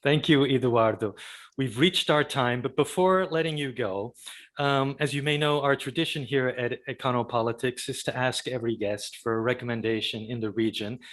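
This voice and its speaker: A man's voice: medium pitch, moderate pace, fairly bright tone. A man hosting an interview show, politely wrapping up and asking his guest for a recommendation. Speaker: podcast host. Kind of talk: closing interview remarks.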